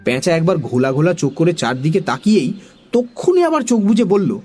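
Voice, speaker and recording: A woman narrating a children's story in an expressive, dramatic storytelling style. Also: lowish voice, fairly quick, faint music behind.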